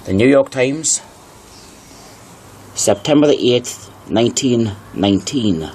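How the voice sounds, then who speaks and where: A man's voice: medium pitch, animated, takes it slowly, darkish tone, slight background noise. A man giving informal video commentary and reading passages aloud, emphatically repeating key phrases. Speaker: narrator presenting old newspaper articles.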